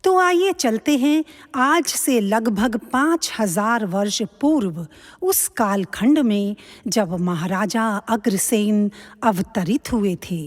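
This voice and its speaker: A woman's voice: medium pitch, lively intonation, takes it slowly. A woman narrating a scripted mythological story in a formal storytelling style. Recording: long hall reverb.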